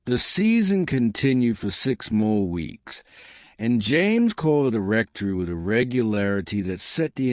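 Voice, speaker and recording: A man narrating a historical romance story with measured, formal literary phrasing. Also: lowish voice, no echo, studio quality. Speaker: audiobook narrator.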